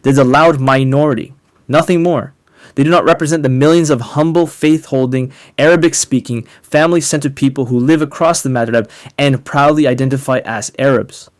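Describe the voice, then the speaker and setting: A man delivering a polemical monologue as an online commentator, speaking emphatically and rhetorically with deliberate pauses on key words. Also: mid-range voice, steady tone, no room sound, studio quality. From North Africa. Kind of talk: polemical monologue to camera, partly scripted.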